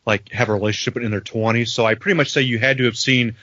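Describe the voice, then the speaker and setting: A man's voice: medium pitch, fairly even intonation, fast, background noise. A man in his thirties from Kentucky, a guest chatting casually with dry, self-deprecating humor. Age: 30s. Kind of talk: casual chat. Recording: dry dull lo-fi sound.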